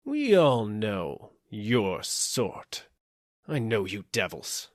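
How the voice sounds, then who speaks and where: A self-important police superintendent played by a male reader blusters through a storybook tale. He is stern and bossy, with a very animated, swooping delivery, recorded close and dry. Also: on the low side, very slow, no echo, heavily acted. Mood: blustering, suspicious. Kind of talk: audiobook character acting.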